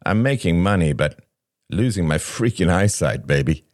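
A man's voice: low voice, lively intonation, normal speed, dry recording, studio quality. A man voicing a wry, teasing accountant friend with a sardonic, joking manner in an audiobook story.

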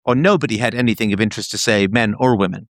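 A man's voice: on the low side, quick pace, dry recording, studio quality. A man hosting a conversation, questioning his guest closely and pressing with logical follow-ups. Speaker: host interviewing a caller. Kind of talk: probing conversational interview.

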